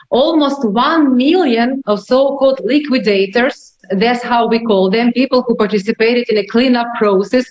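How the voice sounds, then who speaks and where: Female tour guide with Ukrainian accent, conversational and explanatory; dull lo-fi sound in an echoey room. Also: on the high side. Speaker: tour guide, interview guest. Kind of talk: conversational interview answers.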